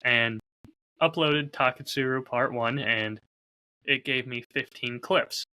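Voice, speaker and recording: A man hosts a casual podcast chat. His voice is very bright and forceful at a middle pitch. He comes across as upbeat and enthusiastic. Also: slow. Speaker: podcast host and audio drama creator.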